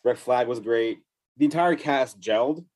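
A man's voice: medium pitch, a little slow, a little mellow, dry recording. Podcast co-host, man, agreeable and enthusiastic. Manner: agreeable, enthusiastic, stumbles over words. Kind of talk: casual movie chat.

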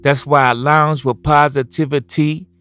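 Man, middle-aged, from New Orleans, poet, measured reading, faint guitar music behind. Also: fairly high pitch, flat delivery. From New Orleans, USA. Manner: measured, spells words out. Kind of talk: reading a self-introduction and a poem. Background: soft guitar music.

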